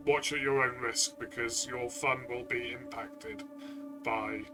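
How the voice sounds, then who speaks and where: Even-toned man co-hosting over music. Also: medium pitch, slow pace. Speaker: co-host and game reviewer. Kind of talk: review discussion, casual chat.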